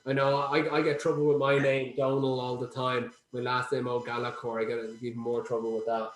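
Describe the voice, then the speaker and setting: A man's voice: medium pitch, little pitch movement, brisk. A man from Ireland representing a distillery, chatting casually on a video call and often restarting his sentences. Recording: roomy video call audio.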